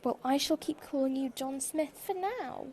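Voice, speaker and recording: A woman's voice: sits high, moderate pace, warm tone, natural voice. A woman playing a stern, exasperated department store boss in a fan audio drama, formal and condescending.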